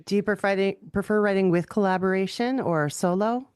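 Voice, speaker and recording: A woman's voice: mid-range voice, fairly quick, darkish tone, clean tone, dry recording, clean studio sound. A woman hosting a podcast, putting questions to a guest in a casual conversational style. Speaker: podcast host, interviewer.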